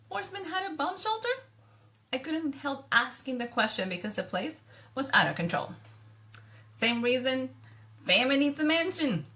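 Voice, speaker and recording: A woman with a Dominican accent reading her own novel aloud, giving the characters their lines and stopping to chat about the story. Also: on the high side. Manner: chatty, animated dialogue voices. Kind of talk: book reading with asides.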